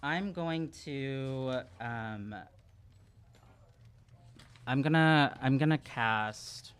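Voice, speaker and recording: A man's voice: mid-range voice, very slow, a bit bright, clear, resonant tone. A man in his thirties improvising his moves as a player in a casual tabletop roleplaying session, hesitant and thinking aloud at a close studio microphone. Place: small podcast studio. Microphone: close podcast microphone on boom arm.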